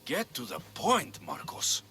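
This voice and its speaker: An actor playing a tough, weary young mercenary in ancient Greece, dry, terse and exasperated, in a video game cutscene. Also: male voice, a little high, very animated, measured pace, vocal fry, bright, forceful tone, soft background music, heavily acted. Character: gruff, sardonic young Greek mercenary, weary but tough.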